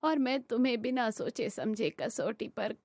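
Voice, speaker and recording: A woman's voice: a little high, expressive, a little slow, darkish tone, dry recording. Stern older mother-in-law for a family cartoon, woman performer; stubborn, authoritative, recorded dry. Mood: remorseful. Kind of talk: Hindi animated family drama acting.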